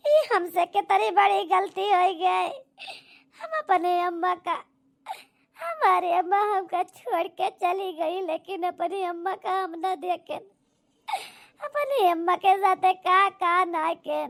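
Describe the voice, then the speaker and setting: A woman's voice: very high voice, animated, gravelly, voice pushed up, full character voice. A woman voicing a guilt-stricken daughter in a cartoon melodrama, crying and wailing remorsefully with an Awadhi accent. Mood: tearful remorse. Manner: wailing, drawn-out lament.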